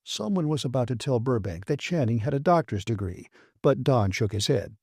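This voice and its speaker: A man reads science fiction as an audiobook narrator. His voice sits at an average male pitch with a mellow, relaxed tone, and the recording is very dry. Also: moderate pace, gravelly, breathy edge, no echo.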